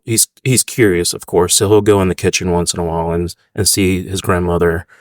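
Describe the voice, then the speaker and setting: A man's voice: low voice, steady tone, fairly quick, no echo, studio quality. A man in his thirties from the United States, a restaurant owner chatting casually in an interview, rambling with fillers.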